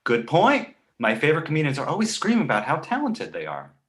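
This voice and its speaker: A man playing a precocious, sarcastic baby boy with a dry, mock-innocent way of needling, in an animated comedy table read. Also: fairly high pitch, fast talker. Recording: echoey room.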